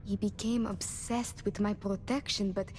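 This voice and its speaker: A woman's voice: mid-range voice, fairly even intonation, moderate pace, darkish tone, slight background noise, close to an everyday voice. An actress playing a sick, anxious young runaway woman, wary and wronged, in a video game. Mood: bitter, distressed. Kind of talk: video game dialogue acting.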